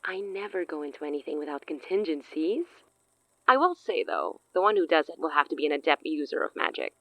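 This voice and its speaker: An actress playing a cunning, self-assured sorceress in an audio drama, speaking in a theatrical, silky, condescending way. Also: female voice, low-pitched, big pitch swings, brisk, fairly bright tone, no echo, full character voice, poor audio. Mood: poised, persuasive. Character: cunning, self-assured woman sorceress offering a dangerous pact.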